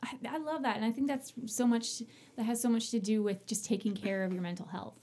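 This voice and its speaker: A woman's voice: on the high side, fairly even intonation, brisk, warm tone, breathy edge. A woman from small-town Ontario with a Canadian accent, hosting a casual conversational interview in an effusive, chatty way. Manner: effusive, chatty, laughs often. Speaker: interviewer, host.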